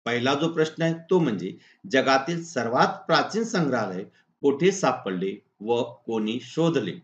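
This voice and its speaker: Male teacher, deliberate instructional read of exam answers, point by point; roomy, slightly muffled lo-fi recording. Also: mid-range voice, sing-song. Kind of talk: exam answer walkthrough, reading model answers aloud. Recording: echoey room, dull lo-fi sound.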